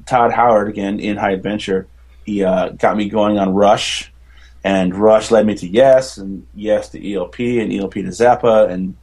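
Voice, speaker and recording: Male baritone, lowish pitch, chatty and rambling musician guest on a podcast. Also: a little mellow. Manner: rambling, enthusiastic, many fillers and restarts. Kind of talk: casual interview chat.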